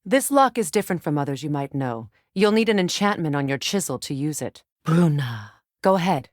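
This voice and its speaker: A woman playing a grave, guarded Norse warrior sorceress in a video game, commanding, with measured and formal phrasing. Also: low-pitched, animated, no room sound, clean studio sound. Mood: calm, resolute. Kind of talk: dramatic game character dialogue.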